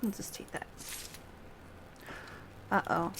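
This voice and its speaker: A woman's voice: slow, a bit bright, a little hiss or hum, poor audio. A woman giving casual livestream commentary while she plays a video game. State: coughing. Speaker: game streamer. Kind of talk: casual livestream gameplay commentary, reading dialogue aloud.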